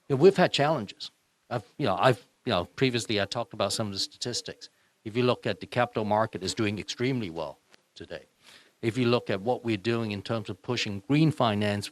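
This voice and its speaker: A man from Hong Kong, a senior executive, giving panel remarks with hesitant starts and emphatic repetition. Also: mid-range voice.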